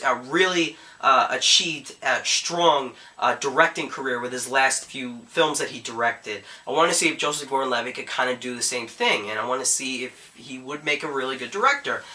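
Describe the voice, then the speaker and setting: A man's voice: medium pitch, bright, forceful tone. A young man reviewing a movie in a casual, chatty way, full of fillers, while getting over a cold. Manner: chatty, frequent "guys" and "uh" fillers.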